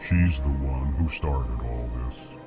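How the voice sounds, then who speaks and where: An actor playing a slow, formal, anxious alien diplomat with a monotone delivery in a video game. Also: male voice, extremely low pitch, over loud music. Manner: monotone delivery, announces own emotional tone before sentences.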